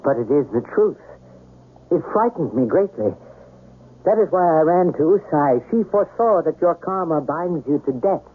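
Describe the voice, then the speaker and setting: An actor playing a loyal, guilt-ridden manservant in a 1970s radio ghost tale, speaking formally and with deference. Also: male voice, on the high side, lively intonation, normal speed, husky. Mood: deferential, grave. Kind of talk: 1970s radio drama acting. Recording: noisy, tinny 1970s radio broadcast.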